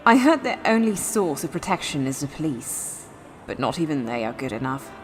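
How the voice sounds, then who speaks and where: A woman playing a sly, predatory female vampire lieutenant in a fantasy audio drama, expressive, confident and teasing. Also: low-pitched, normal speed, faint music behind. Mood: confident, informative.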